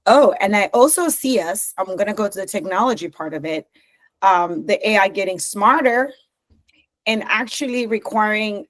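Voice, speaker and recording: Animated woman from Zambia. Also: fairly low pitch. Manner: animated, laughs often, many restarts. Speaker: company co-founder. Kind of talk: podcast interview.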